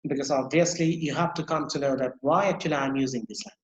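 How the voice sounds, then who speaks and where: A man's voice: medium pitch, steady tone, fast, roomy sound, lo-fi sound. A man gives a university lecture, explaining each point over and over with many fillers and restarts. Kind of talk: recorded online lecture.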